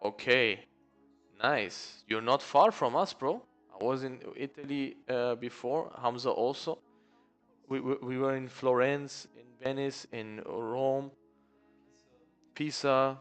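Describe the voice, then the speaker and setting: A man with a clear, resonant voice hosts a casual live trading stream. He reads the chat aloud and greets viewers by name in a relaxed, friendly way. Soft music plays faintly in the background. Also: medium pitch, slow pace, long pauses. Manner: relaxed, chatty, says "bro" often.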